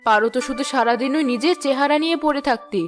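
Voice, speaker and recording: A woman's voice: sits high, fast, faint music behind, natural voice. A woman playing a nagging, sarcastic village housewife in a cartoon. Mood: scornful. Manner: sarcastic, argumentative. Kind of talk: cartoon voice acting.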